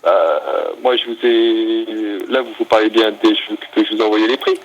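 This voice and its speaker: A young adult man speaking politely and haltingly on a phone call, apologising and repeating himself as he negotiates a purchase. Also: on the low side, steady tone. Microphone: telephone. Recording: telephone line with faint noise.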